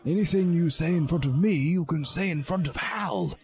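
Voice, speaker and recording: A man's voice: a little high, moderate pace, a crowd in the background, pitched above the performer's own voice, lightly acted. A man voicing a smug, oily old wizard headmaster in a comic story reading. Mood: smug, breezy. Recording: music behind the voice.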